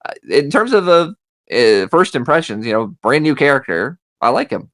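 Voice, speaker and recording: A man in a casual podcast chat, talkative and quick to laugh. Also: medium pitch, very animated, fairly bright tone, dry recording. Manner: chatty, laughs easily. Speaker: podcast co-host.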